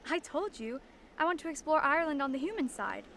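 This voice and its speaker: A woman's voice: sits high, expressive, brisk, a bit bright, slight background noise. A woman playing a bold, playful fairy princess in an audio drama, confident and teasing. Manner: playful, self-assured.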